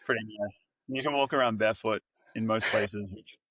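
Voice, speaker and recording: A man's voice: lowish voice, steady tone, a little fast, fairly bright tone, slightly rough, no room sound, poor audio. An Australian man being interviewed as a guest, talking in a friendly, conversational way. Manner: friendly and conversational, says "mate". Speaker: podcast guest, business co-founder. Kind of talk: casual interview chat.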